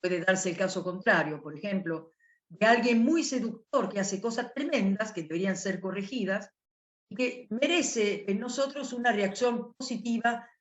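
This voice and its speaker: A woman's voice: medium pitch, normal speed. A woman with an Italian accent giving a thoughtful academic lecture at a conference, often rephrasing as she explains. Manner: thoughtful, restarts phrases while explaining. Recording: echoey room.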